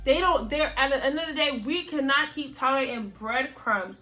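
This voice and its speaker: A young American woman hosting a casual chat, animated and emphatic, often repeating her phrases. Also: high voice, moderate pace, soft background music. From United States. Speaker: host.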